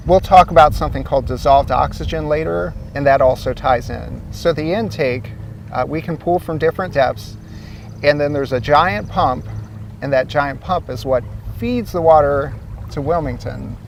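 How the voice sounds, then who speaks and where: A man's voice: a little high, moderate pace, vocal fry, distant mic, a little hiss or hum. A man from Ohio, a guest, explains how his city's water supply works in an easygoing way with some fillers, speaking outdoors by a lake. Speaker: source water protection coordinator, guest. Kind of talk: explanatory interview answers.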